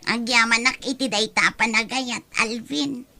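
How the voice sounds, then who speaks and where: A shy, polite young girlfriend in an Ilocano radio drama. Her fairly high, very bright voice moves slowly and hesitantly. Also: fairly even intonation, gravelly, heavily acted. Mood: shy, worried.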